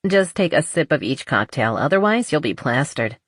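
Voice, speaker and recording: A woman's voice: low voice, sing-song, moderate pace, dry recording, studio quality. A woman voicing a breezy, confident young female bartender in a romance audiobook, with a casual manner. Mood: teasing.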